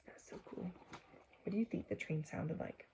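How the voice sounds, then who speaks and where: A woman reading a storybook aloud for children, gently engaging them with asides and questions. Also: sits low, huge pitch swings, moderate pace, creaky, a little hiss or hum.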